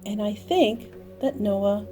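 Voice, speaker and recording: A woman telling a story to young children in an expressive, dramatic manner, with emphatic repeated phrases. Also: medium pitch, takes it slowly. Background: music and sound effects.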